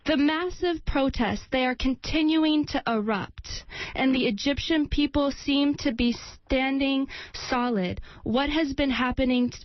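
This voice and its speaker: A radio host, she speaks in a fairly high voice and reads her introductions with a formal touch. The sound is dry and slightly muffled, with little room around it. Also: a little slow, hardly any pauses, no echo. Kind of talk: live radio interview.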